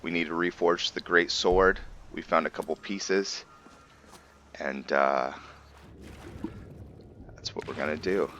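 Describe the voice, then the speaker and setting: Deep-voiced man giving casual game commentary. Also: takes it slowly, pauses often, sharp tone, muffled recording, a little hiss or hum. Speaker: video game roleplay host.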